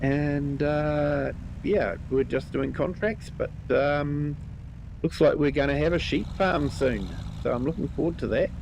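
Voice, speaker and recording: Slow-talking New Zealand man, slightly croaky. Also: on the high side, noisy background. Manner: relaxed, chatty, greets viewers by name, many ums. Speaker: livestream host. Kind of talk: live gameplay commentary.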